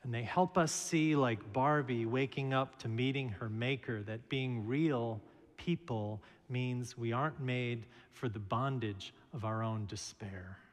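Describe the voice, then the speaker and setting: Slow-preaching minister in a reverberant church. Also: male voice, medium pitch. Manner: slow, measured preaching with storytelling asides. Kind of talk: sermon. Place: large church sanctuary, at a pulpit. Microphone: pulpit microphone.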